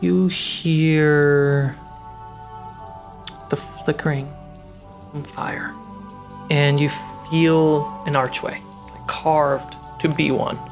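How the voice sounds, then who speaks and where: A game master narrating a tabletop fantasy game in halting, descriptive phrases. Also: mid-range voice, flat delivery, gravelly. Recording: music and rain sounds behind. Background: music, rain effects.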